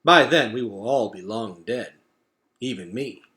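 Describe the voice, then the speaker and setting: A man plays an ancient storybook turtle, wise and grave. He takes his time with every phrase, leaving weighty pauses as his pitch rises and sinks. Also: lively intonation, sharp tone. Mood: calm, knowing. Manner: slow, deliberate speech with weighty pauses.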